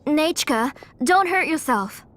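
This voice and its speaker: A woman playing a caring, protective mother in a video game, worried and gently scolding. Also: high voice, lively intonation, slow, a little hiss or hum. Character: caring, fussing, protective mother of a sick girl. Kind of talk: English video game voice acting. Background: music.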